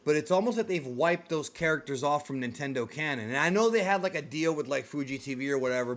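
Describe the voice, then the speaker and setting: A man hosting a casual discussion, talking in an animated, slangy way like an excited fan. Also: medium pitch. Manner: animated, slangy, addresses co-host as dude and yo. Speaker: host of a video game movie discussion show.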